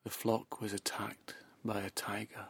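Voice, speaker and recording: A man guiding a hypnosis session, speaking softly and slowly in a half-whispered, soothing delivery. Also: lowish voice, flat delivery, creaky, slightly rough. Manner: soft, slow, half-whispered. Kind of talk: guided hypnosis narration.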